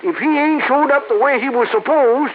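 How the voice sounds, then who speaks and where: Crusty old ranch hand with a cackly Western drawl. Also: male voice, a little fast. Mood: cantankerous. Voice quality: cackly, folksy drawl, high-pitched. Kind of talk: 1950s radio drama acting. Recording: phone-like old radio recording with hiss.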